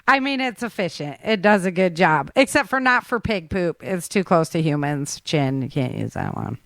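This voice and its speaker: A woman's voice: lowish voice, expressive, normal speed, creaky, fairly bright tone, no room sound. Casual woman podcast host, close dry sound. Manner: informal, slangy, interjecting.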